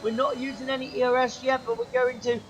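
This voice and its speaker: A young man commentating his own sim race, his voice going hoarse, excitable and shouting at the big moments. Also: very high voice, fast talker, lo-fi sound, slight background noise. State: losing his voice. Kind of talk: gaming commentary.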